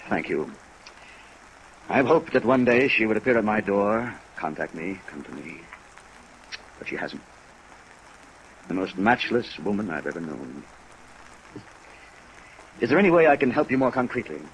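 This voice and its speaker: A wounded older American gentleman in a 1950s radio play, his voice fairly low. He speaks in a formal, measured, earnest way, with hurt dignity. Faint rain noise lies behind him. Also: poor audio. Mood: wistful. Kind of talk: 1950s radio drama acting. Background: steady rain sounds.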